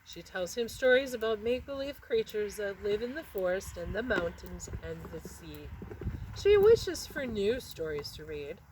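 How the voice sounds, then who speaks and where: A woman narrating a children's picture book expressively, with dramatic pauses and emphasis on words. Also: medium pitch, big pitch swings, a little mellow, slight background noise, low-quality recording. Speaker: read-aloud storyteller.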